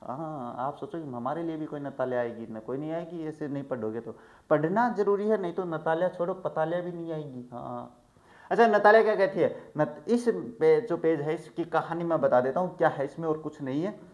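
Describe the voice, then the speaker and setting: A male teacher in a chatty lesson, who reads English lines slowly and then explains each one in Hindi. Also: on the high side, a little mellow. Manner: chatty, addresses students as "bhai". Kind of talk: line-by-line textbook explanation.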